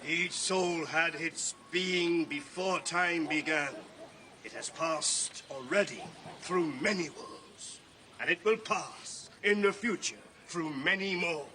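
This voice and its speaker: A man's voice: fairly high pitch, slow, pushed and bright, a little hiss or hum. An actor playing a mystical male preacher, declaiming in an incantatory way in a classical radio drama. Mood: fervent calm. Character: mystical preacher of the soul's rebirth.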